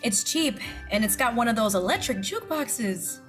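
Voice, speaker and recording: A jaded hotel part-owner in an audio drama. Her voice is fairly high, with a casual, wry, plainspoken delivery. Light music runs behind it. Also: big pitch swings, moderate pace. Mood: amused, casual. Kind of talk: scripted audio drama acting.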